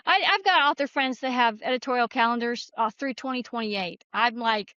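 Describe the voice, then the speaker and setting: A woman's voice: a little high, normal speed, sharp tone, dry recording. A woman author chatting informally as an interview guest, self-effacing, on a slightly dull recording. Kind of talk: casual conversational interview.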